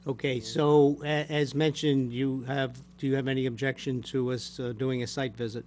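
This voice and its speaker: An older man speaking as a board chair, courteous and measured as he puts questions and handles formal procedure at a public meeting. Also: medium pitch, flat delivery, darkish tone. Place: municipal meeting room. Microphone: gooseneck desk microphone. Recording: faint background hum.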